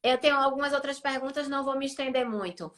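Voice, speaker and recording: A woman's voice: high-pitched, fast talker, fairly bright tone. A woman hosting a live stream, reading out viewers' questions to her guest and acknowledging answers briefly.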